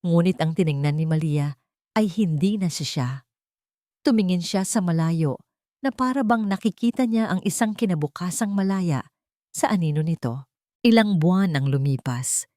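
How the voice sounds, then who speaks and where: Woman, story narrator, lowish pitch, dry recording, dramatic scripted delivery. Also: animated, moderate pace, dark tone, no room sound.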